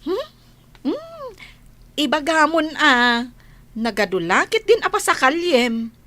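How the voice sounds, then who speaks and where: High-voiced, tender young woman abroad. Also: very animated, takes it slowly, bright, forceful tone, no echo, full character voice. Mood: caring. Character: affectionate, trusting young Filipina working abroad, older sister. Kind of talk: radio drama acting.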